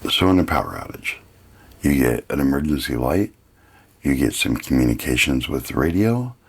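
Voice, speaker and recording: A man with a gravelly, husky voice giving a casual, plain-spoken hands-on product review. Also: very deep voice, big pitch swings, moderate pace.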